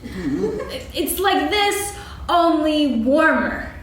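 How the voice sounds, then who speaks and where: Lady doing a simple happy little bear, high n slow, singsongy, echoey stage. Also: lively intonation, exaggerated voice. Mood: sheepish. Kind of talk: staged reading of a children's story play. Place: on stage in a hall. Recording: very echoey hall, clearly noisy archival recording.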